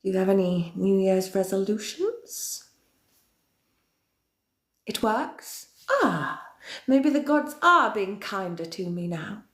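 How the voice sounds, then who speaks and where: Woman playing a whispering dark queen. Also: mid-range voice. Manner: theatrical, slow, often breathy whisper. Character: centuries-old female queen of darkness, villainous, theatrical. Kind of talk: in-character chat with viewers. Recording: roomy echo.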